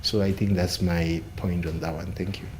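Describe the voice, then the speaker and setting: Male panelist, low register, measured, filler-heavy delivery. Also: flat delivery, slight background noise. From Zimbabwe. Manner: measured, searching for words with many fillers. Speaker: panelist, software engineering student. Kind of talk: panel discussion.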